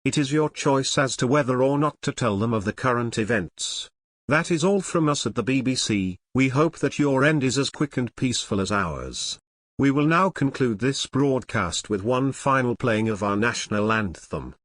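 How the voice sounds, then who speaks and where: A man delivers scripted emergency announcements in a formal, measured way. His tone is solemn and orderly, like an official broadcaster. The sound is dry, with little room around the voice. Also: medium pitch, expressive, a bit bright, no echo.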